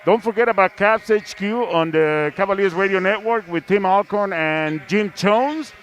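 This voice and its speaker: A man's voice: high voice, normal speed, fairly bright tone. A man hosting a basketball live stream in a playful, chatty, casual way, over some venue noise. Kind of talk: casual sports broadcast chat.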